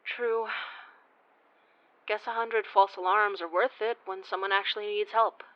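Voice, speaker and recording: A woman acts as a friendly but weary female investigator in a naturalistic audio drama. Her voice sits at an average pitch, and she talks casually and a little hesitantly, as in everyday conversation. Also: steady tone, a little slow, highs cut off, natural voice. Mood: weary, sympathetic.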